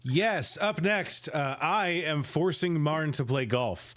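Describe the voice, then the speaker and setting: A man co-hosts a stream, joking and teasing his friends in casual chat. His voice is bright and forward, and sits a little high. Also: animated, slow. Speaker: stream co-host.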